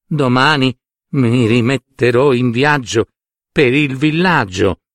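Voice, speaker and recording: A feeble old beggar woman acted out by a male storyteller implores in a weak, eerie voice. The words drag out slowly, in a storybook manner, with a very dry sound. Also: medium pitch, a bit bright, dry recording. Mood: pleading, weak. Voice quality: weak, chilling voice. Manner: slow, imploring. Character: frail, pleading, sick old beggar woman.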